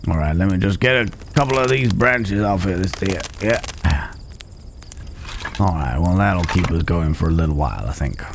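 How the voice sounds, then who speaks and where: A man playing a calm, protective cowboy stranger in an ASMR roleplay, talking in an unhurried, folksy way with hesitant pauses and gentle teasing. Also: low-pitched, background noise. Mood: matter-of-fact, instructive. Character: gruff but caring male cowboy stranger, calm and protective. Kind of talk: immersive ASMR roleplay acting. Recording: outdoor night ambience with chirping crickets.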